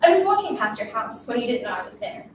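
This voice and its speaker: Woman acting a high-voiced, taunting schoolgirl bully, echoey. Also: big pitch swings, quick pace. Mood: goading. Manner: scolding, demanding, emphatic. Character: bullying schoolgirl, taunting, desperate, commanding a silent classmate. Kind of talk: dramatic literary reading. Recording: echoey room, distant mic, faint noise.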